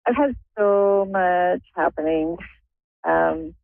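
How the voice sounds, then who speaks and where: Calm, soft-spoken woman caller heard over a thin phone line. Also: fairly low pitch, slow, creaky, dry recording. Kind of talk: phone call-in. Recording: tinny dry phone line.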